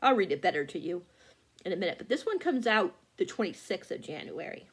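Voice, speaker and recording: A woman's voice: on the low side, very animated, fairly quick, husky. A woman chatting casually about upcoming books, rambling with lots of fillers and restarts while working a phone. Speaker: book vlogger. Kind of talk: casual chat.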